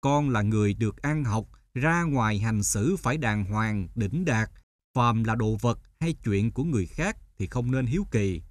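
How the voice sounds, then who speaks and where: An actor playing a stern, wise older male teacher in an animated folk tale, speaking in a measured, didactic way. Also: mid-range voice, no echo, studio quality, natural voice. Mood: stern, admonishing.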